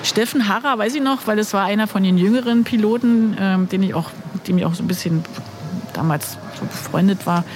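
A woman's voice: mid-range voice, fairly quick, a little hiss or hum. Chatty woman in her fifties. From Berlin. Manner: chatty storyteller with many fillers. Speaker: guest, former flight attendant. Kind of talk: casual interview.

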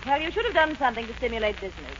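An indignant touring actress past her fame, rattling off complaints in a high, sharp voice. It is 1940s radio comedy acting on a dull recording with light hiss. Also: very animated, racing. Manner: demanding, complaining. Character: indignant touring stage actress, a faded star.

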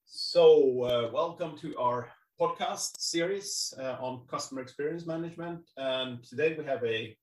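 A man's voice: medium pitch, moderate pace, few pauses. Hesitant male host, echoey distant mic. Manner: hesitant, many fillers and repeated words. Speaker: podcast host. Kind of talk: casual interview hosting. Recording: echoey room, far from the mic.

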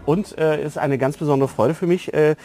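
A man's voice: fairly high pitch, steady tone, fairly quick, mellow. A man from Germany's Ruhr area co-hosting a casual interview, often searching for his words. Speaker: podcast co-host.

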